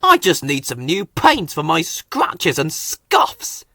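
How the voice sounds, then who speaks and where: A man's voice: a little high, huge pitch swings, takes it slowly, creaky, sharp tone, dry recording, studio quality, heavily acted. A man voicing a fussy, indignant silver steam engine in a children's storybook.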